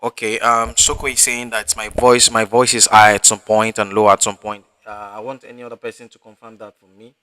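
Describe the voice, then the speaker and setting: A man teaching a live online class, talking in a halting way with many fillers and restarts. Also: on the low side, flat delivery, bright, forceful tone, smooth, no echo. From Africa. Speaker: instructor.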